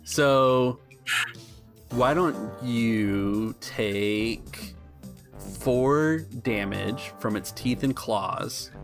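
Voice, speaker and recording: A man's voice: medium pitch, drawn-out words, gravelly. A man acting as game master, narrating an improvised tabletop game in a conversational, explanatory way. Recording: music playing behind the voice. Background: music.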